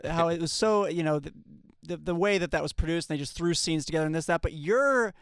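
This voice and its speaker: A man interviewing a guest casually, with quick interjections and laughs. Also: on the high side, clean tone, dry recording, studio quality.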